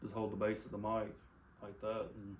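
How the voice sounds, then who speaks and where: Soft-spoken man, distant and roomy. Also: on the low side, monotone, normal speed. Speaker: podcast co-host. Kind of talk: casual chat. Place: room at a high table. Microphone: desk mic a foot away. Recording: roomy, distant mic, faint hiss.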